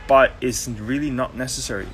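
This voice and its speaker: A man's voice: medium pitch, steady tone, fairly quick, a bit bright, slight background noise. A young man from Germany, hosting a casual live Q&A and explaining things loosely with many ums and restarts. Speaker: host. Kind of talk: casual live Q&A, answering viewer questions.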